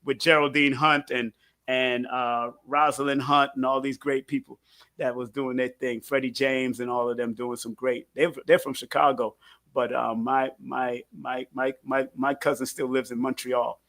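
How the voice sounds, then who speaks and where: An American man talking as an interview guest in casual, animated conversation, effusive and often repeating words as he launches into phrases. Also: medium pitch, normal speed, creaky. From United States.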